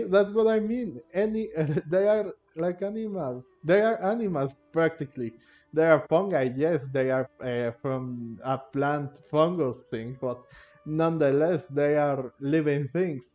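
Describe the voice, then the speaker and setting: Halting man commenting on a game, muffled. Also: a little high. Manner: halting, repetitive, rambling. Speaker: gamer, video host. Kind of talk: casual game playthrough commentary. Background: game music.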